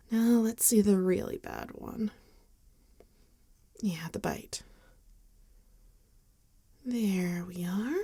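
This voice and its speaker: Woman acting soft, unhurried, gentle vampire woman. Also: mid-range voice. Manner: soft-spoken, hesitant, often dropping to a whisper. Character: gentle, rueful female vampire bartender tending a wounded companion. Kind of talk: intimate ASMR roleplay acting.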